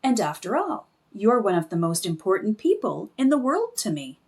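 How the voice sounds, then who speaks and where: A woman's voice: mid-range voice, big pitch swings, moderate pace, a little mellow. A woman voicing an earnest, attentive suffragette mother in a storybook, explaining things patiently and with emphasis. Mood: affectionate.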